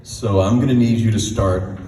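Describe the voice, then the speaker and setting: A middle-aged American man with a very deep voice, telling stories casually as a singer-songwriter at a live event. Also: fairly even intonation, normal speed, mellow. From United States, Texas. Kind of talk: casual stage storytelling. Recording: echoey hall, distant mic, background noise.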